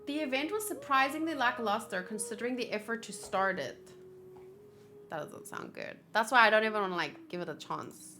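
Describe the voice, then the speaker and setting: A woman chatting casually on a gaming livestream, thinking aloud in an expressive, chatty way. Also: on the high side, brisk. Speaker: video game streamer. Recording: clear background noise.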